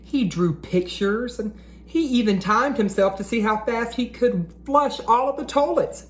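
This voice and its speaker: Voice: mid-range voice, measured pace. A read-aloud host narrating storybooks and talking to children in an encouraging, teacherly way.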